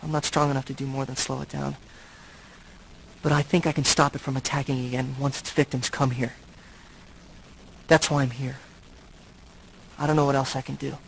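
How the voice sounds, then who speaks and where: An actor playing a brooding, tormented but noble centuries-old vampire in a video game, speaking in a grave, halting, earnest way. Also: male voice, medium pitch, little pitch movement, vocal fry, a little hiss or hum, close to an everyday voice, low-quality recording. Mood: pained, confessional.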